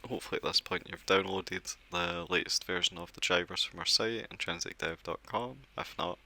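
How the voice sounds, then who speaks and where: A man with a low, gravelly voice talks through a software setup one step at a time. His tone is bright and forceful despite the depth, and he keeps things relaxed with small fillers as he clicks along. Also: brisk. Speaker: software tutorial presenter. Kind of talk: screen-recorded software walkthrough.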